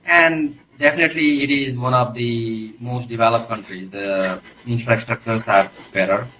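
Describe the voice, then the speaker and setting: A man's voice: mid-range voice, fairly even intonation, moderate pace, bright, forceful tone, rough recording. A man from Nepal with a Nepali accent, giving hesitant, conversational answers as an interview guest, often adding "you know". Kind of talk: casual interview talk.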